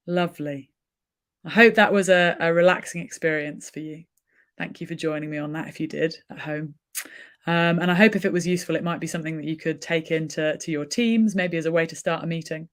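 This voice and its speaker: A woman facilitating an online wellbeing session, talking gently with frequent ums and restarts. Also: fairly low pitch. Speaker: workshop facilitator.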